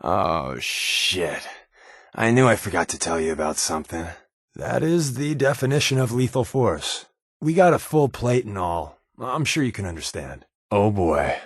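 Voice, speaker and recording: Game companion, male: wisecracking secret agent, sardonic and conversational, animated inflection, close dry recording. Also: on the low side, a little slow, breathy edge, no room sound, full character voice. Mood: dry, sarcastic. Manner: glib, dry sarcasm, conversational. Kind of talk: video game companion dialogue.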